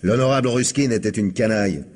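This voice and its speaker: Husky, low-voiced cynical male ex-convict played by an actor. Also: brisk, a little mellow, natural voice. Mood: bitter cynicism. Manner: sneering, slangy. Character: cynical male ex-convict manservant, bitter and defiant. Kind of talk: old French radio crime drama acting.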